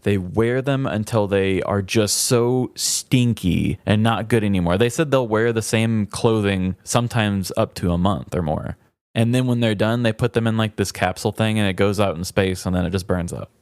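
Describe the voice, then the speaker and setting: A man's voice: on the low side, normal speed, darkish tone, smooth, dry recording. A man co-hosting a comedy podcast in a chatty, wry, casual way, close to the mic. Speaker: podcast co-host. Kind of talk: casual comedic chat, reading trivia aloud.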